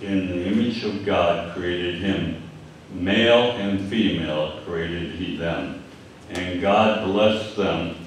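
An older man with a Michigan accent preaching a sermon at a lectern, speaking haltingly with many fillers and repeated words. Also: low voice, slight background noise. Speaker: pastor. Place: church hall with stage and screen. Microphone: lectern mic from a distance. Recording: echoey hall, distant mic.

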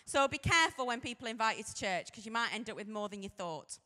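A woman in her forties with a Sheffield accent tells her story in a chatty, self-mocking way. Her voice sits a little high and comes across bright and forceful. She holds a microphone on a stage, and the large hall adds a long, echoing tail to her words. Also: expressive, fast talker. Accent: Sheffield English. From Sheffield, England. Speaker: vicar. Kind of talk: humorous personal testimony to a youth audience. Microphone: handheld microphone. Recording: echoey hall.

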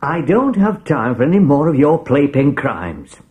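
Weary, dismissive police sergeant, animated. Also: male voice, fairly high pitch, normal speed. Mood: irritated, dismissive. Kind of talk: read-along audio drama acting.

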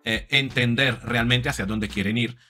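A man in his forties talks straight to camera into a desk mic in a home studio, thinking aloud with many small restarts. His voice is bright and forward, and faint music plays softly behind him. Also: medium pitch, fairly even intonation, normal speed. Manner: reflective, with many fillers and repeats. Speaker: psychologist and commentator. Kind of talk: video commentary to camera.

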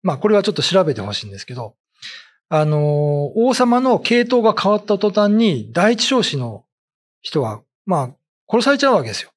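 A middle-aged man explains things informally as a storyteller and commentator. His voice is slightly husky and a bit high, with very lively intonation. He speaks conversationally, pausing often between phrases. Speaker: ghost story writer and commentator. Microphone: desk condenser mic with pop filter.

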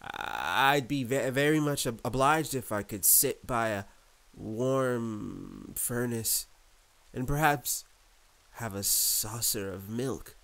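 A man voicing an old, polite, well-traveled female alley cat in a storybook, with a halting, hesitant delivery. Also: mid-range voice, gravelly, a bit bright. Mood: timid, polite.

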